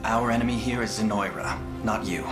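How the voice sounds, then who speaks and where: A man playing a noble young prince who leads an army in a video game, speaking in a measured, earnest, formal way. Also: lowish voice, natural voice. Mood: earnest resolve. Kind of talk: video game cutscene acting. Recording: echoey, loud music behind.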